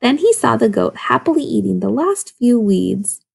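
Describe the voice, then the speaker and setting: Woman teacher reading aloud, creaky voice. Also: on the high side, big pitch swings, moderate pace, a little mellow. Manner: instructive, questioning asides to children. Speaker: second-grade teacher. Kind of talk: expressive children's story read-aloud.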